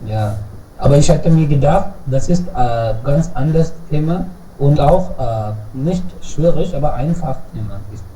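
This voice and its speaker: A man's voice: on the high side, expressive, unhurried, dark tone. A man taking part in an online German conversation club, speaking supportively with hesitant fillers over a video call. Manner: supportive, with frequent short affirmations. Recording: echoey, distant call audio with faint noise.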